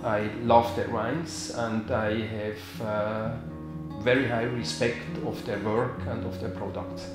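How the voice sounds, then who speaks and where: Slow-talking man, echoey room. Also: fairly low pitch, little pitch movement. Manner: slow, thoughtful, with fillers. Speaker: villa representative. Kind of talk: interview answers in English. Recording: echoey with background music. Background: music.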